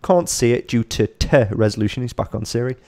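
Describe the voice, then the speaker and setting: A man's voice: mid-range voice, animated, darkish tone. A man co-hosting a live podcast stream, reading viewer comments aloud and teasing his co-hosts. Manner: joking, quick to tease. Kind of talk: casual live-stream chat.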